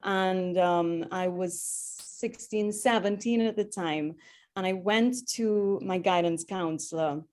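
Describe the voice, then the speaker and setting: A woman's voice: lowish voice, takes it slowly, darkish tone. A woman, speaking as the guest on a video call and telling her life story in a chatty way, with many ums and restarts. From Trinidad. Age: 30s. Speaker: guest speaker, airline pilot. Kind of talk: personal story talk.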